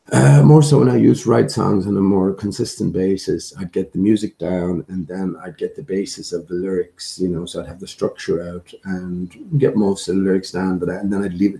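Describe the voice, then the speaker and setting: A slightly hoarse man talking casually and hesitantly on a livestream, musing as he rambles along. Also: sits low, mellow. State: slightly hoarse, occasional coughing. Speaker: livestream host and amateur musician.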